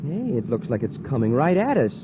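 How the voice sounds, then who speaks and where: Man as eager young space cadet, American, wide-eyed, animated intonation, 1950s radio drama, noisy recording. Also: medium pitch, brisk, narrow, tinny audio, close to an everyday voice. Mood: excited, amazed. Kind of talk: 1950s radio adventure acting.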